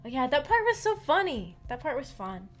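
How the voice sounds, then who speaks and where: Higher-pitched woman chatting casually on stream. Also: big pitch swings, moderate pace. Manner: rambling, thinking aloud, giggly. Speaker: streamer hosting a visual novel book club. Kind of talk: casual live-stream chat with viewers. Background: background music.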